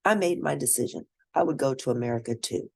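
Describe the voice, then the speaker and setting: Husky woman reading a story aloud. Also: rumbling low, lively intonation, quick pace, a little mellow, no echo. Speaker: read-aloud narrator. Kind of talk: scripted storybook reading in first person.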